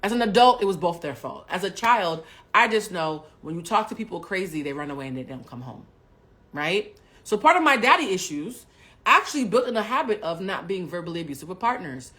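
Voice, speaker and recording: A woman in her forties hosting a livestream, telling a personal story bluntly and with plenty of profanity, swinging between hushed asides and loud bursts. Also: fairly low pitch, big pitch swings, brisk. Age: 40s. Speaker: livestream host. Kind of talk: candid storytelling to a live audience.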